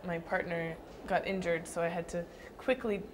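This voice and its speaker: A young woman dancer answering interview questions thoughtfully, with frequent pauses and restarts. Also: lowish voice, fairly even intonation, noisy background. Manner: thoughtful, hesitant with fillers and restarts.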